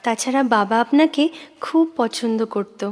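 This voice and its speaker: A woman acting an intense, moody younger sister in a Bengali audio drama, an ardent reader speaking in halting, fervent repetitions. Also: on the high side, natural voice. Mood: eager. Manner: breathless, halting, repeats words with fervour.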